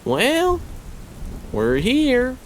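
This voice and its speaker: A brash young male rookie constable played by a woman, pitched high with a wildly animated swing. His talk is casual and slangy, set in a fantasy audio story with clear rain noise behind. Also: extremely slow, heavily acted. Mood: uncertain. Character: cocky eighteen-year-old male rookie constable.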